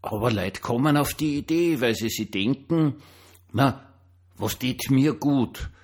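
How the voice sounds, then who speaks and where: A man's voice: mid-range voice, unhurried, darkish tone. An older man with a Viennese Austrian accent, speaking as a pastor in an informal, hesitant but emphatic personal monologue. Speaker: pastor and online diary host.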